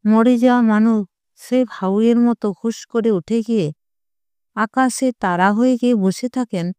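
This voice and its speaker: A woman's voice: a little high, steady tone, unhurried, warm tone, smooth, no echo, natural voice. A woman storyteller voicing a stern, indignant young mother, lecturing emphatically in an audio story.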